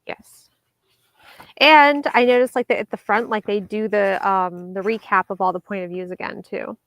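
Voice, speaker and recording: A woman co-hosting a book club discussion over a video call, agreeable and casual, planning the next reads out loud. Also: medium pitch, steady tone, moderate pace, gravelly, no echo. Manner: agreeable, short replies, plans aloud.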